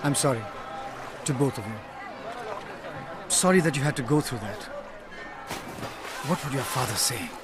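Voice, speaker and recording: An actor playing an earnest, sharp-minded detective in a radio drama, protective and articulate, with a probing manner. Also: male voice, mid-range voice, animated, moderate pace, pauses often, lightly acted. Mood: remorseful.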